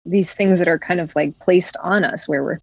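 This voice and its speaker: A woman's voice: fairly low pitch, steady tone, moderate pace, husky, dry recording. A young woman from West Virginia, raised in Florida, talking reflectively as a podcast guest. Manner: reflective, many "like" fillers. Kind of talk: casual conversation.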